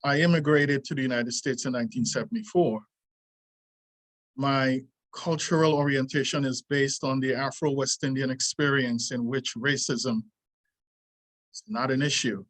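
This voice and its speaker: A man's voice: medium pitch, fairly even intonation, a bit bright. Earnest older man reading aloud. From Trinidad. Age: 60s. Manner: earnest and deliberate, stops and restarts lines. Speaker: martial arts teacher. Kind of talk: reading a prepared essay aloud.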